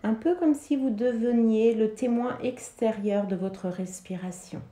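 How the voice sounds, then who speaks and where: Slow, mellow woman guiding relaxation. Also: fairly high pitch. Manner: soothing, measured, with pauses between short phrases. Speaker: yoga nidra guide. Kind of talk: guided relaxation meditation, instructing listeners. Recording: roomy, reverberant sound.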